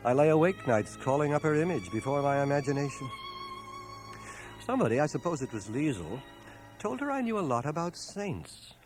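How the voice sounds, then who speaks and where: A man's voice: fairly high pitch, big pitch swings, moderate pace, darkish tone, faint music behind, poor audio. An actor playing a troubled, lovestruck man of fifty, narrating his confession in a literate, earnest way for a radio drama. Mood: lovelorn anguish. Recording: 1980 radio drama on home cassette transfer.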